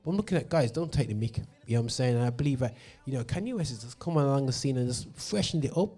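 Mellow-voiced gospel radio host. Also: male voice, medium pitch, expressive, quick pace, husky. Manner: passionate, ranting, many fillers. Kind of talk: opinionated radio monologue. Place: studio.

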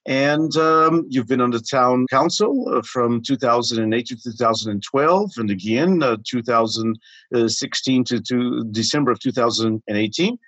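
A man hosting a conversational podcast, introducing his guest in a halting, deliberate way. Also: mid-range voice, fairly bright tone, no echo, dull audio. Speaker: podcast host.

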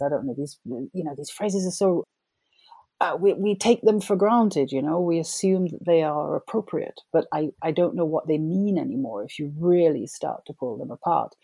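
A woman's voice: low voice, gravelly, mellow, slightly rough, no echo. A middle-aged woman novelist from Jamaica, talking about her writing in a thoughtful, reflective interview. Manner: thoughtful, reflective, laughs as she talks. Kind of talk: conversational interview.

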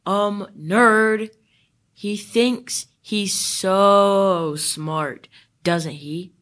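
Woman as a slow-talking sneering schoolboy bully. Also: medium pitch, fairly even intonation, a bit bright. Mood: sulky, mocking. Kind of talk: amateur school radio theater.

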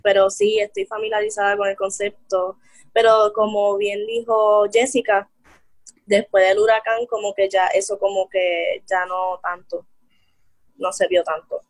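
A woman teacher speaking in a relaxed, casual chat. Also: mid-range voice, unhurried, no echo.

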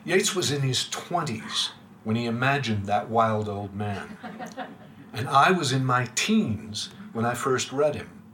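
An older man reads a reflective talk aloud in a measured, literary way. His voice is bright and forward, and it sinks softly at the ends of lines. A faint hum sits behind him. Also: mid-range voice, expressive. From United States. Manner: measured and literary, dropping quiet at line ends. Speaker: speaker giving a lecture. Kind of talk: reading a prepared reflective talk. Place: room with a live audience.

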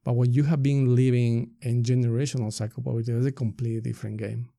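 A middle-aged man with an Ecuadorian Spanish accent speaks in a mellow, creaky voice, recorded close and dry. He is a native Spanish speaker who explains things earnestly in an interview, often posing rhetorical questions to make his point. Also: mid-range voice, flat delivery, fast, dry recording. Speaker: nonprofit staff member being interviewed. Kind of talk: reflective interview answers.

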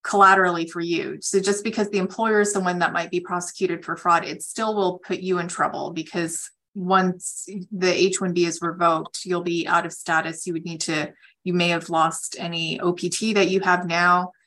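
Female attorney on a call-in, bright clear-cut tone, slightly low pitch, explanatory and matter-of-fact. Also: flat delivery, moderate pace. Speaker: immigration attorney answering callers. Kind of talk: advisory question-and-answer on a conference call.

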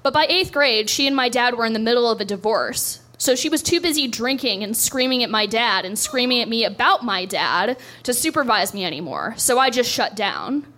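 A woman's voice: fairly high pitch, expressive, a little fast, few pauses, sharp tone. A young woman doing a stand-up set, telling a story in a dry, self-deprecating way on a club stage. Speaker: stand-up comedian.

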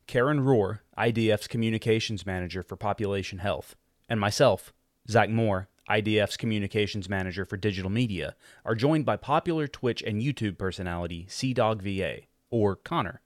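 A man with a somewhat low voice reads a scripted podcast opening. His delivery is formal and even. The sound is dry and close. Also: brisk, no echo. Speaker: podcast host. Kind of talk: scripted intro read.

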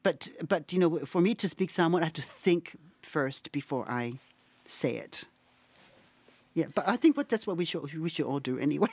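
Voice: medium pitch, lively intonation, normal speed, a little mellow, no echo. A guest artist speaking in a casual interview, thoughtful and hesitant, with many fillers.

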